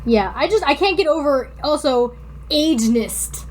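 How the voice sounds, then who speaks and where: Female podcast co-host, high and giggly, casual, stumbling through dramatic readings with commentary, faint noise behind. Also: lively intonation. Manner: informal, halting when reading aloud, breaking off to comment.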